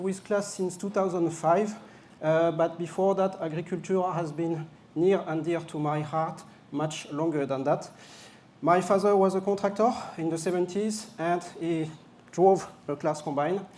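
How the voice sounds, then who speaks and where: A man's voice: high voice, steady tone, unhurried, mellow, slight background noise. A man from France with a French accent, presenting a product at a live launch event with some jokes and hesitant fillers. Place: event venue.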